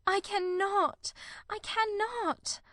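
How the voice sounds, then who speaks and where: A woman's voice: high voice, lively intonation, normal speed, a bit bright, dry recording, studio quality. An actress voicing an impassioned, heartbroken young woman who pleads in sob-laden outbursts in an audiobook drama. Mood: anguished.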